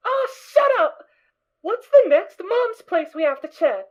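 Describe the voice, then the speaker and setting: A woman's voice: very high voice, very animated, unhurried, darkish tone, full character voice. A woman voicing a small unicorn filly searching for her mother, childlike, pleading and bratty. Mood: grumbling. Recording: dull lo-fi amateur recording.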